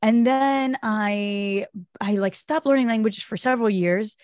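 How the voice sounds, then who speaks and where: Animated young woman guest from Brazil. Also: fairly high pitch, unhurried, dry recording, clean studio sound. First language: Portuguese. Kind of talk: casual interview chat. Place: home office with bookshelves. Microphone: studio mic on boom arm.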